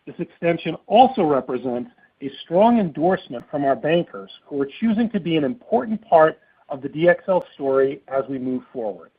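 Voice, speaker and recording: Man, finance executive, formal measured scripted delivery, slightly high husky voice, narrowband phone audio. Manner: formal, measured reading aloud. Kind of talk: scripted earnings call report. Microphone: conference call phone line. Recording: muffled narrowband phone conference line.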